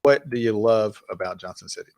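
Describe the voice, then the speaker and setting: A man's voice: on the low side, a little fast, darkish tone. A man hosting a local podcast in a casual, upbeat interview style, enthusiastic and chatty. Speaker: podcast host.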